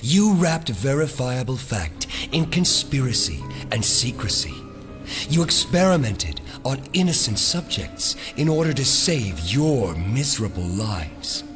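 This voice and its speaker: A cold, vengeful villain in an audio drama. He speaks slowly and theatrically, with wide swings of pitch and open menace, over a music score. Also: big pitch swings. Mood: cold contempt. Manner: theatrical, deliberate, menacing oratory. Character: vengeful resurrected former victim, cold menacing male mastermind.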